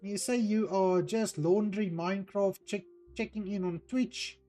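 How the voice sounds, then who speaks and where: A man from South Africa with an Afrikaans accent, a native Afrikaans speaker, chatting casually as a streamer, unhurried and hesitant with many ums. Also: high-pitched, steady tone, warm tone, faint music behind. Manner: unhurried, hesitant, with frequent ums while reading out chat. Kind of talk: casual chat while playing a game.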